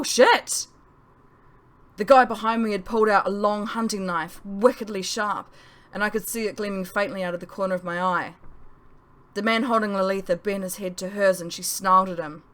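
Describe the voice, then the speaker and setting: A woman's voice: mid-range voice, steady tone, fairly quick, a bit bright. A woman reading her own novel aloud in a dramatic audiobook narration style.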